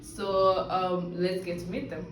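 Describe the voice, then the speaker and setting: A woman's voice: lowish voice, level tone, slow pace, noisy background. A young woman, a university student, hosting and introducing a video in a friendly, casual way with frequent fillers. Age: young adult. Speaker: host. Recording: roomy, slightly distant.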